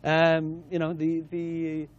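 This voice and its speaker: A man's voice: a little high, monotone, slow pace, muffled recording. A man presenting a corporate lunch-and-learn to a live audience, running a playful quiz and talking with frequent fillers and restarts.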